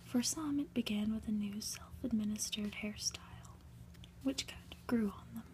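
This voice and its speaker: A woman reads a storybook aloud for ASMR in a soft, breathy, gentle whisper, with deliberate, unhurried phrasing. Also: medium pitch, background noise. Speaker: ASMR reader.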